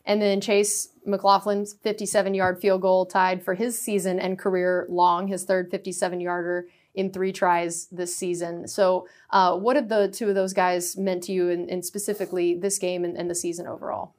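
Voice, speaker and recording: A woman's voice: lowish voice, flat delivery, moderate pace, hardly any pauses, a little mellow. A woman who is a team reporter hosting a radio sports show, asking poised, upbeat interview questions.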